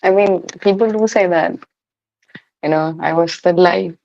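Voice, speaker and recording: Deadpan teen girl, deep gravelly voice, sore throat, muffled lo-fi sound. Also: unhurried. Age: late teens. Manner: sarcastic, deadpan, swears. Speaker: video chat stranger. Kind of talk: casual chat. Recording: lo-fi video chat audio.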